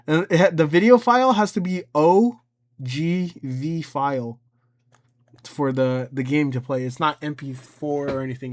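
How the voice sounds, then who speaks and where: Man giving a casual, rambling tutorial. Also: medium pitch. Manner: informal, rambling, thinks aloud and talks to himself. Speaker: tutorial creator and presenter. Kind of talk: casual screen-recorded software tutorial.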